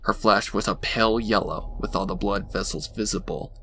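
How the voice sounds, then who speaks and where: A man's voice: fairly low pitch, fairly even intonation, moderate pace, bright, forceful tone. A man narrating a scripted horror story in a dramatic storytelling style. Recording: faint background noise.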